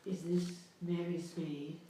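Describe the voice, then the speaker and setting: A man voicing a mysterious caller who reports a murder, speaking quietly. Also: a little high, flat delivery, takes it slowly. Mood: hushed. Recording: echoey room, distant mic.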